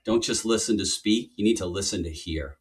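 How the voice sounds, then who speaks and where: A man's voice: on the low side, brisk, slightly rough. A man co-hosting a podcast, talking in a conversational style. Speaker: podcast co-host.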